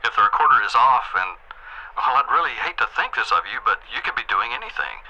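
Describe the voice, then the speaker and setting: An actor playing an overbearing corporate boss in an audio drama, with a measured, condescending, passive-aggressive manner that is polite on the surface and menacing underneath. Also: male voice, mid-range voice, very animated, pushed and bright, highs cut off, exaggerated voice. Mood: accusing. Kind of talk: naturalistic audio drama acting.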